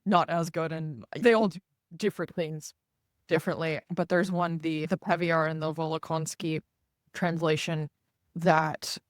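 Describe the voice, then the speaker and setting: A podcast host whose first language is Russian, explaining in a measured, chatty way during a casual interview. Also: medium pitch, no room sound, clean studio sound.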